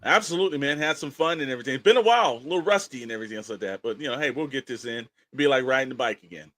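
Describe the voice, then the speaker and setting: A man's voice: mid-range voice, lively intonation, rapid-fire, pushed and bright. A man with a mid-range voice co-hosts a show in loose, casual talk. He is colloquial and emphatic, speaking straight to the listeners. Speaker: podcast co-host. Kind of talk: casual chat.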